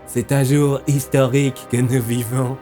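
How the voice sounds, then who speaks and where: An actor playing a menacing bomber in an audio drama, speaking in a cold, controlled, chilling manner. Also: male voice, medium pitch, level tone, a little slow, warm tone, soft background music, lightly acted. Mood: cold menace. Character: menacing male bomber stalking a journalist.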